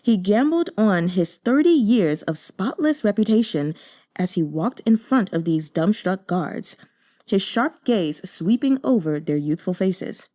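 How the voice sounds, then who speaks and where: A woman reading a fantasy novel aloud as an audiobook narrator, with steady, measured storytelling. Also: medium pitch.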